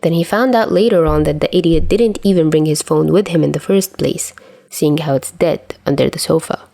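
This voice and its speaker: A woman reading fan fiction aloud as an expressive scripted story narrator. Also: low-pitched, normal speed, gravelly. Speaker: podfic narrator.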